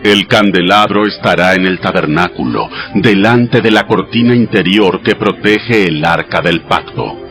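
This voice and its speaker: A man voicing God in a dramatized audio Bible, giving sacred commands in a measured, solemn, instructive way. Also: lowish voice. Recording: studio voice over background music.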